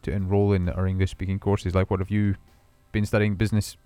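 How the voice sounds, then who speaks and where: A man's voice: low voice, flat delivery, fast, warm tone, clean tone, no echo, soft background music. A man hosting a casual radio interview, rambling and restarting his questions as he talks. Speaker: radio host.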